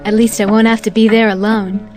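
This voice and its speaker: Woman as young female seafarer, game cutscene, earnest, determined, deliberate delivery, clear music behind. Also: medium pitch, little pitch movement, close to an everyday voice. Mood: hopeful. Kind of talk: dramatic game cutscene narration and dialogue.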